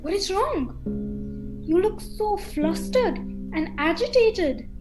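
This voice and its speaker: A woman playing a gracious but insistent countess in an amateur radio play, speaking in a formal, measured way. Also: very high-pitched, big pitch swings, music in the background, full character voice. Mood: coaxing, insistent.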